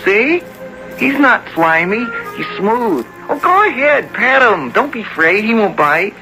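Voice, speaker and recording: A young man playing an eager, innocent country boy who loves nature in a radio drama, speaking earnestly and haltingly. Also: sits high, very animated, bright, forceful tone, exaggerated voice, rough recording. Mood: eager, proud. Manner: earnest, wide-eyed, halting. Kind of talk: 1970s radio drama acting, narrating and dialogue. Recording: old radio recording, music underneath.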